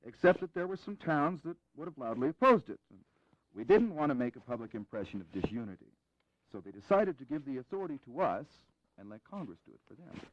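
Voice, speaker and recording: Earnest, animated middle-aged delegate played by an actor. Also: male voice, medium pitch, dry recording, lo-fi sound, lightly acted. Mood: persuasive. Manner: formal, measured wording. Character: earnest, diplomatic colonial delegate in late forties. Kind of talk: audio drama acting.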